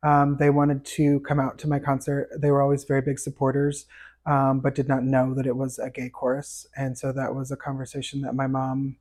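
A man's voice: completely flat delivery, fairly quick, a little mellow. Chatty man with a light tenor voice. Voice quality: fairly high light voice. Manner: chatty, storytelling with fillers and laughs. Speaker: podcast guest, chorus tenor. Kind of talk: casual interview chat.

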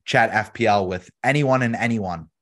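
A man's voice: lowish voice, normal speed, a bit bright. Upbeat hyped male podcast host. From United States. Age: adult, has a daughter. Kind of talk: casual podcast chat.

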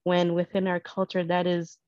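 A woman speaks in a measured, earnest way, thinking each point through as she goes. Her voice sits a little low. The sound is dry and slightly muffled, as if from a plain lo-fi mic. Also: completely flat delivery, darkish tone, dry recording. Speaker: guest parent. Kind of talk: casual conversation.

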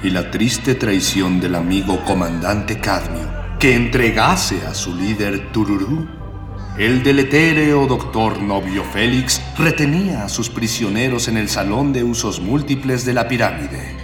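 A man's voice: fairly low pitch, a little slow. A man narrating a radio serial in a florid, pompous, declamatory mock-epic style. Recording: long echoing reverb, music behind.

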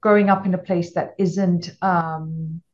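Middle-aged woman, lowish voice, rambling restarts, dull lo-fi audio. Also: a little slow. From Malawi. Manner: rambling, frequent restarts, animated storytelling. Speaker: entrepreneur guest. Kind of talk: casual interview chat.

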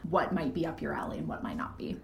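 A woman's voice: low-pitched, very animated, quick pace, vocal fry, a little mellow. Woman chatting candidly in a roomy space. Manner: candid, informal, swears casually. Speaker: podcast guest, fiction podcast creator. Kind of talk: casual conversational advice. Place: living room. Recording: roomy echo, faint background noise.

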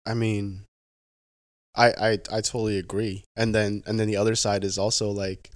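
A young man from the Pacific Northwest of the United States, a guest talking in a casual interview, halting, with many restarts and fillers. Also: on the low side, little pitch movement, no room sound.

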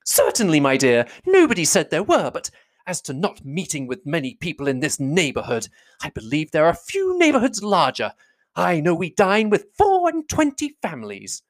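Fussy middle-aged mother, acted by an audiobook reader, high swooping pitch, effusive, indignant, emphatic, period novel. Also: wildly swooping pitch, normal speed. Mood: indignant, triumphant.

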